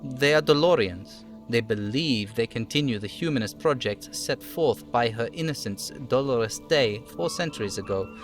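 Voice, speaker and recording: A man voicing a reserved, dutiful police lieutenant from a game, speaking in a measured, formal way. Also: mid-range voice, animated, soft background music, close to an everyday voice. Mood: explaining. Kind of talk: dramatic reading of game dialogue.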